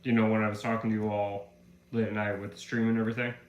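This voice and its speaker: A man's voice: lowish voice, flat delivery, fast, lo-fi sound. A man live streaming who is getting over the flu and still has a slight cough. He chats casually, rambling through a story with lots of fillers. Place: room at home. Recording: echoey room with faint ambient hum.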